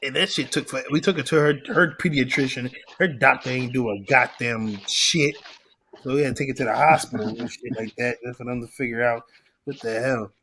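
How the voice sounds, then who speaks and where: A man's voice: mid-range voice, steady tone, brisk, bright, forceful tone. A man telling stories in blunt, profane street slang during a casual podcast chat. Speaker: podcast guest. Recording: noisy background hiss.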